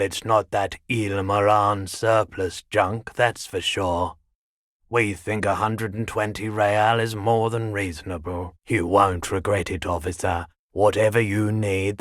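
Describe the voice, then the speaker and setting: An actor voicing a haggard, indebted male shopkeeper in a video game, with a measured, understated, resigned manner. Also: fairly low pitch, a bit bright, no echo, clean studio sound. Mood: dry, salesmanlike. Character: haggard, low-key pawnshop keeper, weary and indebted. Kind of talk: video game character dialogue.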